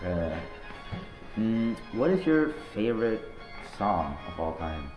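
Teen boy from norway, deadpan slangy podcast banter, low voice, echoey lo-fi room. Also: takes it slowly, soft background music. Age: teens. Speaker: podcast host. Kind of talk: joking student podcast interview.

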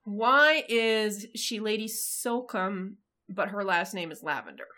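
A woman's voice: medium pitch, takes it slowly, sharp tone. A woman co-hosting a podcast, asking curious questions and guessing at answers in casual chat. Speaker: podcast co-host.

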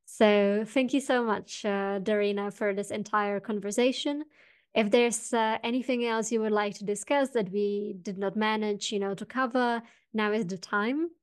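A woman's voice: medium pitch, steady tone, moderate pace, clean tone. A woman hosting a podcast, thanking her guest politely and hesitantly, with many fillers. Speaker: podcast host. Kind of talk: podcast interview wrap-up.

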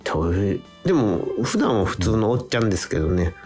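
A man's voice: lowish voice, very animated, quick pace, a little mellow. A man with a Kansai accent speaks as a guest actor in a casual radio chat, polite but colloquial and appreciative of his colleagues. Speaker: guest stage actor talking about castmates. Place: radio studio. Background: background music.

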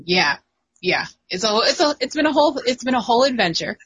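A woman co-hosting a podcast in casual chat, agreeable and quick to affirm. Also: a little high, very animated, fairly bright tone, husky. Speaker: podcast co-host.

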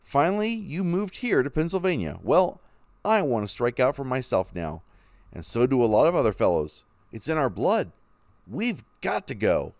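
A man's voice: mid-range voice, wildly swooping pitch, moderate pace, no room sound, voice pushed up. A man doing an eager, firm pioneer father. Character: determined young pioneer father, set on heading west. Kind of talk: storybook reading.

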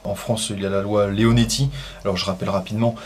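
A man asking probing, halting interview questions. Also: fairly low pitch, little pitch movement, darkish tone, a little hiss or hum.

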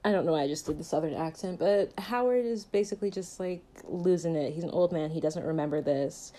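Mellow low-voiced woman reviewing a film. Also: fairly quick. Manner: jokey, conversational, uses filler "like" often. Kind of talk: casual chatty movie recap and commentary.

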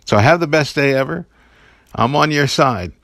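A man's voice: fairly high pitch, lively intonation, moderate pace, creaky, a bit bright, dry recording, clean studio sound. A man hosting an inspirational podcast, speaking earnestly and encouragingly and telling personal stories with the odd chuckle. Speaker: podcast host giving daily encouragement. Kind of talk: inspirational devotional talk.

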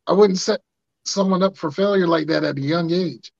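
A man in his thirties with a raspy voice, hosting a podcast and telling stories in casual chat, acting out quotes. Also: sits high, moderate pace, no room sound. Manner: animated, acts out quotes in funny voices. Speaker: podcast host. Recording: dry muffled lo-fi sound.

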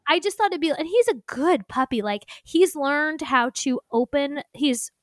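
A woman's voice: high-pitched, animated, moderate pace, dry recording, clean studio sound. A young woman from Indiana with a light Indiana accent, chatting casually and rambling with lots of fillers. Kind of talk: casual chat.

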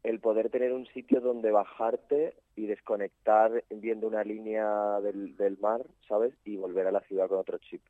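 A man's voice: lowish voice, level tone, normal speed, hardly any pauses, dry recording. Chatty young man on a tinny line. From Spain. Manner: chatty, many fillers and restarts. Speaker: photographer guest. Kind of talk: casual interview chat.

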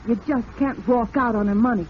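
A woman's voice: fairly high pitch, a little fast, close to an everyday voice. A woman playing a fed-up, glamorous young mistress in an old radio drama, wry, cutting and insistent. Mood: firm, bitter. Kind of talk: 1940s radio drama acting. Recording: thin, phone-like old radio recording, hiss.

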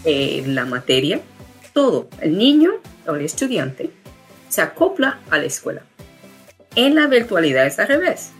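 Low-voiced American woman speaking Spanish. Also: sing-song, a little slow, husky, soft background music. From United States. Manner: hesitant, many fillers and restarts. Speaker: guest, education founder. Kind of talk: interview conversation.

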